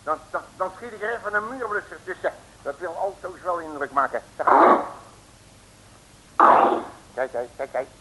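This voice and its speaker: An actor playing a brash, bossy, street-smart detective in a 1950s Dutch radio serial, full of slangy bluster. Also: male voice, high voice, moderate pace, long pauses, close to an everyday voice. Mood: urgent, commanding. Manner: slangy, blustering, addresses people as "broer". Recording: hissy old radio recording.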